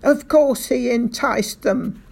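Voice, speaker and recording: Woman doing a furious rich landowner guy, shouting, bossy, gravelly and slow. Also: fairly high pitch, voice pushed up, heavily acted. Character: blustering angry rich male landowner.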